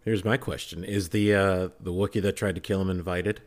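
A man's voice: low voice, steady tone, brisk. A man on a podcast panel chatting casually, teasing and throwing questions at the others. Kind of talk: casual, joking group chat.

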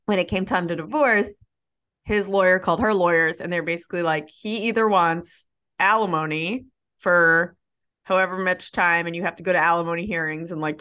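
A woman's voice: lowish voice, bright, forceful tone. A woman co-hosting a podcast, chatting casually, laughing often and using lots of fillers. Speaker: podcast co-host. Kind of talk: casual chat.

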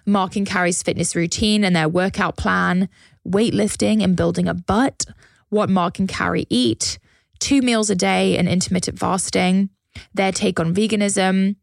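A woman hosting a podcast in a casual, enthusiastic, chatty way, her voice slightly hoarse as she loses it. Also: mid-range voice, no echo. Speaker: podcast host.

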